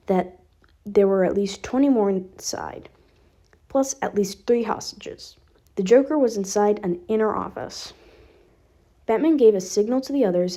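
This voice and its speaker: A woman's voice: medium pitch, dark tone. A woman reading fan fiction aloud as scripted narration. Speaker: podfic reader.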